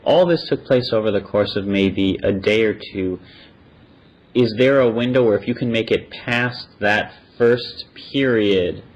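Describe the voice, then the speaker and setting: Male podcast host, fairly low voice, casual questioning style, slightly muffled recording. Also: a little slow. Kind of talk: casual unscripted interview. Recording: dull, band-limited audio.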